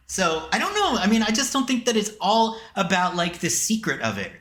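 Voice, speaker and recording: A man's voice: high voice, big pitch swings, fairly quick, a bit bright, echoey room. A man hosting a casual podcast, rambling along and often stopping to repeat himself. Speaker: podcast host.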